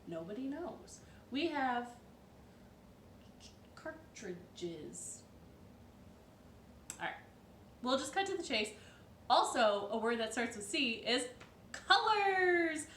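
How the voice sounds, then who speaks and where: A woman hosting a children's storytime from her living room, telling the story in a playful, expressive and emphatic way. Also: sits high, slow pace, pauses often. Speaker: storytime host. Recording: roomy echo, distant mic, light noise.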